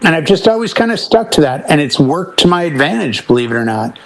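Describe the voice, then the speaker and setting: A man's voice: a little high, big pitch swings, fast talker, low-quality recording. A man from the Boston area talking casually as a DJ on a panel, slipping into anecdotes and short acted-out quotes. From Boston area, United States. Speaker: wedding DJ, panel member. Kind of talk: casual panel chat about music.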